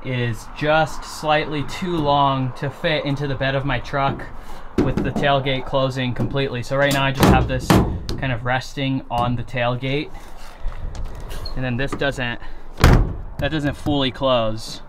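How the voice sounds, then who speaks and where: A man's voice: medium pitch, flat delivery, measured pace, very noisy. A young man talking casually and cheerfully to the camera while camping outdoors in snowy mountains. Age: young adult. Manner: chatty and upbeat, talks to the camera. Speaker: vlogger. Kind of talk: casual vlog narration.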